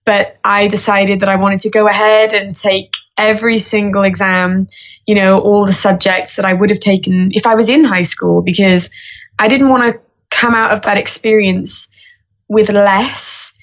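Young woman, muffled lo-fi sound. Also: mid-range voice. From Wales. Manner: earnest, steady storytelling. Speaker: guest singer-songwriter. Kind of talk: casual podcast interview. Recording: muffled lo-fi audio.

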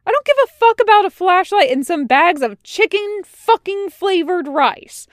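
A woman's voice: fairly high pitch, slow pace, clean tone, no room sound, clean studio sound. A woman voicing a brash, exasperated male soldier with a slangy, sarcastic manner in a dramatic story reading. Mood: angry, shouting. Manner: prodding, slangy, swearing. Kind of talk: dramatic fan fiction reading.

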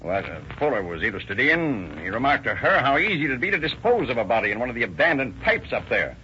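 An actor playing a brisk, commanding police chief in a 1930s radio drama. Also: male voice, medium pitch, sing-song, bright, forceful tone. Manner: brisk, commanding, summing things up. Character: authoritative police chief running a meeting. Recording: dull old radio recording with hiss.

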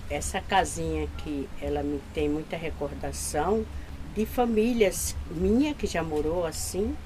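A woman's voice: sits low, a little slow, mellow, a little hiss or hum, low-quality recording. An older woman from Bahia with a Bahian accent, welcoming visitors in a nostalgic way and often repeating words. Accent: Bahian Portuguese. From Bahia, Brazil. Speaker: host of a traditional house.

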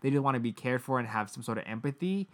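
A man's voice: medium pitch, quick pace. A man co-hosting a podcast, telling a story in a casual, profane way and acting out the people he quotes. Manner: animated, profane, acts out quoted voices. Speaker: podcast co-host.

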